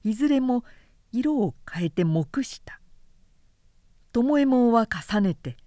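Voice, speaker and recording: A woman narrator reading a period novel in measured, carefully articulated scripted narration. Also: fairly high pitch, lively intonation, mellow, dry recording, clean studio sound.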